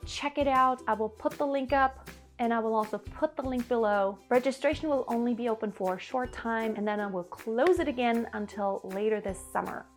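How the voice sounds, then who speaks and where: A woman's voice: fairly high pitch, brisk, soft background music. A woman in her thirties from Germany, a vocal coach giving an earnest, encouraging singing lesson to camera in a living room. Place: living room in front of an upright piano.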